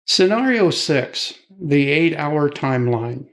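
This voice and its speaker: A man's voice: a little high, animated, slow pace. A man reading scripted training narration in formal wording.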